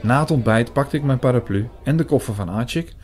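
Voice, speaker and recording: A man narrating a radio play in the first person, measured and literary in his storytelling. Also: mid-range voice, crowd noise, background noise. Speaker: narrator and main character.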